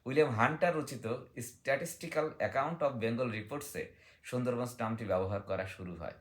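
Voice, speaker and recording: A man's voice: medium pitch, fast. A man speaking as a guest in a book discussion, explaining in a teacherly way, sometimes reading aloud and sometimes posing questions he then answers.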